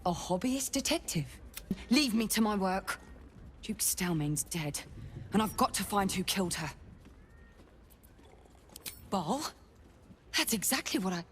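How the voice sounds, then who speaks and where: An actress playing an earnest, dogged city guard investigator in a video game, with an intense, deliberate, confiding delivery. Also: female voice, mid-range voice, animated, a little hiss or hum, close to an everyday voice. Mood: brisk, suspicious. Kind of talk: dramatic game dialogue. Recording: background music under the voice.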